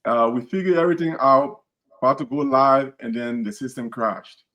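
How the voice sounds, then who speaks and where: A man's voice: mid-range voice, normal speed, a bit bright. Earnest Liberian man hosting a podcast. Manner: earnest, repeats words and restarts phrases. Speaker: podcast host. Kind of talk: casual podcast talk.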